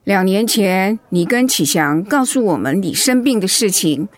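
A woman's voice: mid-range voice, expressive, moderate pace, no room sound, natural voice. A woman acting a protective middle-aged mother-in-law in a radio drama, outspoken and motherly. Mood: tender. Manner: indignant, emphatic when provoked, motherly.

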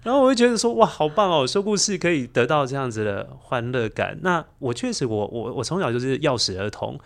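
A middle-aged man speaks with a Taiwanese accent in a medium-pitched voice. He is a storyteller being interviewed, recounting personal experiences in a conversational way. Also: sing-song, a little fast. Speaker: podcast storyteller, interview guest.

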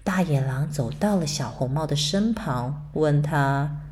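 Slow, mellow-voiced woman storyteller. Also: deep voice, animated. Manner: soft, slow, soothing storytelling. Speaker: storyteller mother. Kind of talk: bedtime story narration.

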